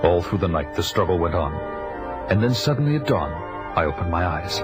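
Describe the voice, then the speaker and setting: A man's voice: deep voice, big pitch swings, a little fast, over loud music. A man playing a brooding barbarian warrior, solemnly telling his tale of vengeance in a comic adaptation. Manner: grave, solemn, portentous. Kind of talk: dramatic first-person storytelling for a comic adaptation. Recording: loud film score behind.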